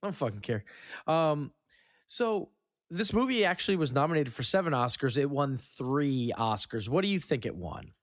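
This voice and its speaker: A man's voice: mid-range voice, sing-song, moderate pace, dry recording, clean studio sound. A man hosting a casual, joking film discussion and reading out trivia. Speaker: podcast host reading trivia.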